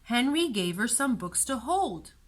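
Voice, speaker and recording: A woman storyteller narrating a children's storybook in an expressive, deliberate way. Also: on the high side.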